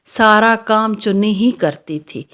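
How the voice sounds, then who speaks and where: A woman's voice: medium pitch, slow pace, a bit bright. A woman narrating a scripted children's story.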